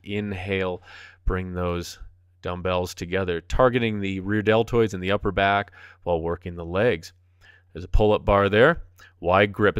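Upbeat low-voiced male fitness coach. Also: fairly bright tone, clean tone, no room sound, clean studio sound. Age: 40s. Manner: upbeat, jokey, enthusiastic coaching. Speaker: fitness instructor. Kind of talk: voice-over commentary on a workout video.

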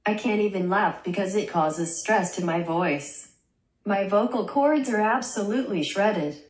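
A synthetic woman's voice reading a young vlogger's lines in a deadpan way, heard in a small bedroom through the camera mic. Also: medium pitch, a little slow, echoey room. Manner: deadpan, dry jokes. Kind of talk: text-to-speech vlog narration.

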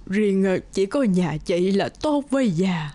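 An actress playing a humble old beggar woman in an animated folk tale, speaking slowly and haltingly. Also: medium pitch, dry recording. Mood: sorrowful. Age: older. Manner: slow, pleading, halting. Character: frail, humble old beggar woman, secretly wise spirit.

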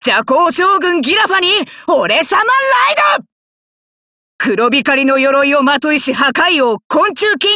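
An actor voicing a cocky, hot-blooded young male fighter in an anime card game, rough-talking and arrogant, with emphatic battle cries. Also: extremely high pitch, pushed and bright, dry recording, studio quality, heavily acted.

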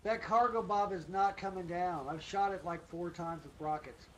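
A high-pitched man in casual team gaming chat, excitable and quick to repeat his callouts. Game gunfire and clear noise sit behind his voice. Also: rough recording. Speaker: gamer in a group livestream. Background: video game gunfire.

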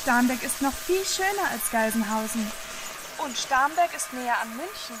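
A woman's voice: sits high, animated, normal speed. A woman playing a musing figure in a surreal radio play. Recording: distant voice in very loud noise.

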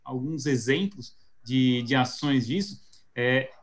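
A man's voice: mid-range voice, slow pace. A man in his thirties with a Paulista Brazilian accent, a marketing guest on a live video call, talking thoughtfully with many restarts and fillers. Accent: Paulista Brazilian Portuguese. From São Paulo state, Brazil. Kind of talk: live webinar conversation. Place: home office indoors. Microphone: video call webcam mic.

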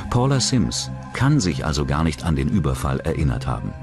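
Male documentary narrator, deep register, scripted crime narration, clear music bed. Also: very animated, brisk. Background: background music.

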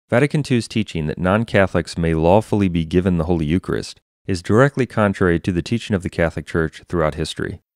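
A low-pitched male narrator delivers scripted religious commentary. He is formal and emphatic, stating each point as a firm declaration. The recording is dry and close. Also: a little mellow, dry recording.

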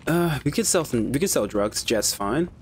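A man's voice: medium pitch, animated, normal speed, slight background noise. A man streaming a video game, chatting casually and swearing freely as he plays. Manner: laid-back, swears freely. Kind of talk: casual gameplay commentary.

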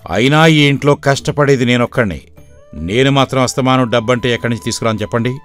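A man playing a weary, worried but shrewd middle-aged village father in an animated moral story, speaking plainly and earnestly. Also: medium pitch, quick pace, lightly acted. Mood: reproachful. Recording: background music throughout.

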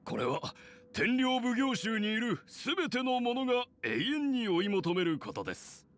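A man's voice: very high voice, sing-song, measured pace, fairly bright tone, slight background noise, exaggerated voice. An actor playing a scheming, easily indignant elderly clan head in an anime game, formal and deferential to his ruler. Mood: defensive, obsequious. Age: older. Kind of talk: anime game character acting.

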